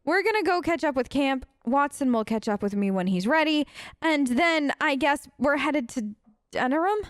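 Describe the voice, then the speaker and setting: A woman's voice: high-pitched, expressive, moderate pace, no echo, studio quality. A woman hosting a let's play, improvising role-play dialogue and commentary in an informal, conversational way.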